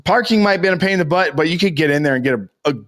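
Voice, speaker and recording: American guy on a podcast, voice a bit high, rambles on with lots of emphasis and swearing. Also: rapid-fire. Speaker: podcast co-host. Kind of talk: casual podcast chat.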